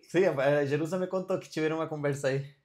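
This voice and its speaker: A man teaching a casual online class on Vedic studies and Ayurveda over a video call, relaxed and often checking in with his listeners. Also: on the high side, quick pace.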